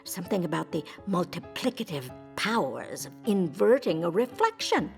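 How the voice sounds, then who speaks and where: A woman's voice: lowish voice, very animated, normal speed, gravelly, faint music behind. A woman playing a prim, earnest ghost newspaper reporter, polite, wordy and a little hesitant, in an audio drama. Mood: earnest explaining. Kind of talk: audio drama character acting.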